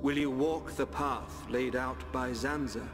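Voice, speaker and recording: A man playing a calm, enigmatic guide in a video game cutscene, speaking in a solemn, measured, oracular way. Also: medium pitch, lightly acted. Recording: long hall reverb, orchestral music behind.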